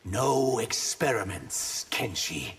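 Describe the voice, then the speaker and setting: Echoing, expressive earnest reptilian man. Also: medium pitch, takes it slowly. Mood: solemn, sincere. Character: earnest shape-shifting reptilian humanoid man, proud and haunted. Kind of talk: video game character dialogue. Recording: hall reverb with background noise.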